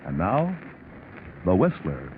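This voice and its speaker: Male vintage radio announcer, polished sales delivery, lively swooping pitch, tinny old recording. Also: takes it slowly. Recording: tinny 1940s radio recording, faint noise.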